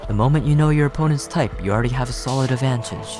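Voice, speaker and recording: Man acting a god creature, video game character, lofty, measured, pompous, coolly amused, over music. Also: mid-range voice, natural voice. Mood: self-assured.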